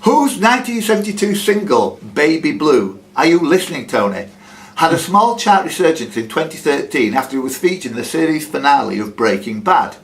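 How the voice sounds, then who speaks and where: A man's voice: fairly high pitch, sing-song, fairly quick. A man hosting a live quiz, reading out questions and adding chatty, jokey asides between them. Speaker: quiz host. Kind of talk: live pub-style quiz hosting, reading questions aloud with ad-libbed banter. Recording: clear background noise, live room.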